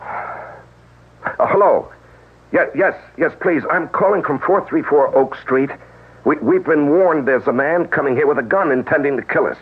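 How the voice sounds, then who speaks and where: A man's voice: fairly high pitch, unhurried, slightly rough. An actor playing a level-headed husband who reassures his wife, in a radio drama. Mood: urgent. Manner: reasoning, reassuring, then urgent. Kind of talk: 1970s radio drama acting. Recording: tinny lo-fi old radio broadcast.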